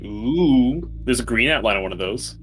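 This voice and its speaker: Bright-voiced, irreverent man bantering. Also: mid-range voice, animated, moderate pace. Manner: slangy, irreverent, sweary. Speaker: player in a tabletop game podcast. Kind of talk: casual banter. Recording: music bed behind the voice.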